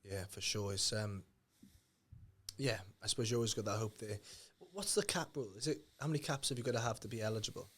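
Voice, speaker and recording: A man's voice: fairly low pitch, quick pace, a bit bright. A man hosting a podcast, asking questions in a chatty, friendly manner with informal slang. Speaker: podcast host. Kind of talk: casual podcast interview.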